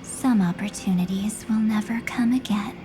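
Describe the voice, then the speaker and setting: A woman playing a solemn, resolute young woman with fateful powers in a dramatic video game, speaking in a measured way. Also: mid-range voice, over music, natural voice. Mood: resolved, grave.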